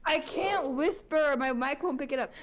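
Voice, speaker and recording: A woman in casual, playful banter, exclaiming dramatically over a rough microphone as a friend reading along. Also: moderate pace. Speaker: co-reader and friend. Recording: rough low-quality microphone.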